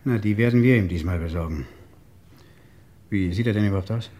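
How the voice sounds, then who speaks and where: An actor playing a brisk, impatient French police inspector, curt and sardonic, in an old German crime radio play. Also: male voice, lowish voice, warm tone, close to an everyday voice. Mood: businesslike.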